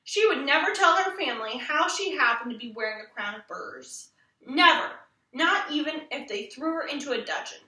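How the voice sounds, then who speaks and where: Woman, children's story narration, expressive, very high bright voice, echoey room, distant mic. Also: normal speed. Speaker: storybook reader.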